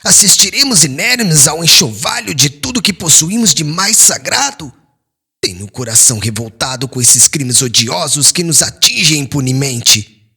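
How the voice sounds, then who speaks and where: A man voicing a stern, wounded old Hebrew father in an audiobook, stubborn and solemn, with forceful, indignant oratory. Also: on the high side, very animated, normal speed, creaky, breathy edge, pitched above the performer's own voice, exaggerated voice. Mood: anguished indignation. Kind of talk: dramatized audiobook reading.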